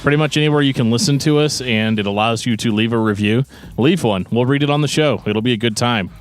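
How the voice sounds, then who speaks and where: A man with a middling pitch co-hosts a casual podcast over music. He rambles through his explanations in a joking way. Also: fast. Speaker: podcast co-host.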